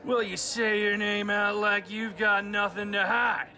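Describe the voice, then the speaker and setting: Western radio bully, male actor: piercing, high, drawn-out taunts, folksy and belligerent. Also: little pitch movement, pushed and bright, heavily acted. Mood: needling. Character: belligerent, goading gunslinging bully picking a fight. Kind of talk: amateur western radio play acting. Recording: student radio play with background music.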